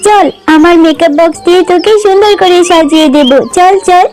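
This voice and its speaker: A woman's voice: very high voice, a little fast, darkish tone, soft background music. A woman voicing a generous, cheerful little girl in a children's cartoon, childlike and eager.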